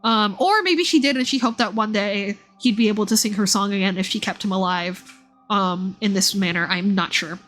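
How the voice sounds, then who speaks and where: A woman's voice: medium pitch, moderate pace, fairly bright tone. A woman streamer giving casual, chatty live commentary and explanations of a game's story. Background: music.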